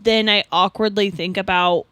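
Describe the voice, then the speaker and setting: A woman's voice: medium pitch, steady tone, moderate pace, bright, forceful tone, clean tone, no room sound. A woman in her thirties co-hosting a podcast, thoughtful and wry in casual chat. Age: 30s. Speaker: podcast co-host.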